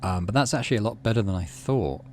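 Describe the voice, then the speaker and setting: A man's voice: fairly low pitch, quick pace, a little hiss or hum. A middle-aged man giving casual commentary as he plays a video game. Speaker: let's play host. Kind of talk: casual game commentary and reading text aloud.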